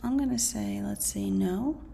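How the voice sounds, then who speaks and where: A woman's voice: medium pitch, measured pace, gravelly, mellow, a little hiss or hum. A woman reading educational material aloud as a teacher, in an instructional way that explains and poses questions. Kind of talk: scripted educational read-aloud narration.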